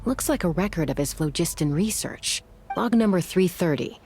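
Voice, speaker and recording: A woman playing a pragmatic young adventurer in a video game, speaking in a measured, matter-of-fact manner. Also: on the low side, lively intonation, a little mellow, faint music behind. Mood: grave.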